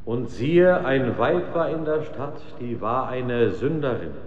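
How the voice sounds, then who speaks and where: Solemn scripture reader, echoing hall. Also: male voice, mid-range voice, animated. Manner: slow, declamatory, dramatic swells and hushes. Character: solemn male scripture reader reciting the Gospel. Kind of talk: biblical recitation in a German radio drama. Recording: long reverberant hall, slightly dull sound.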